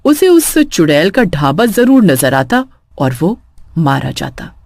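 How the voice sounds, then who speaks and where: A woman's voice: low-pitched, very animated, takes it slowly, creaky, darkish tone, no echo. A woman narrating a scripted horror story in a dramatic storytelling style.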